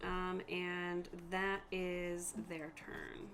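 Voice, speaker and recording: A woman acts as game master for a tabletop session, narrating in a slow, measured way. She thinks aloud and hesitates as she rules on the game. There is faint noise behind her. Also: on the low side, level tone, a bit bright.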